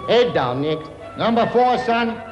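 A man's voice: high-pitched, expressive, a little slow, echoey room, muffled recording, music in the background. A man playing a dutiful royal courtier who announces the children in a comedy sketch. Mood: formal. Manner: formal, announcing.

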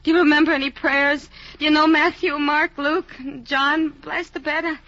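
A woman's voice: sits high, flat delivery, moderate pace, a bit bright. An actress playing a frightened young woman sinking into fever and delirium, in a dull lo-fi recording. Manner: fragile, dreamy, frightened. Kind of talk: radio drama acting.

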